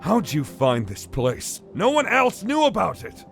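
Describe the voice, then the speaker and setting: A man's voice: sits high, huge pitch swings, measured pace, gravelly, faint music behind, heavily acted. A man playing a slippery master thief in an audio drama, glib, sarcastic and flippant under threat. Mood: shocked.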